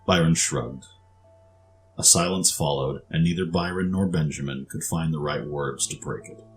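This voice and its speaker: Male narrator, low register, scripted fiction storytelling over faint music. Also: moderate pace.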